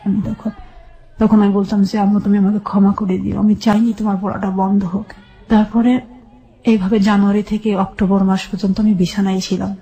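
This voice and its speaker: Middle-aged Bangladeshi woman, mellow and level in pitch, giving sombre personal testimony as a radio guest. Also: level tone, a little fast, poor audio. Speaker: guest telling her life story.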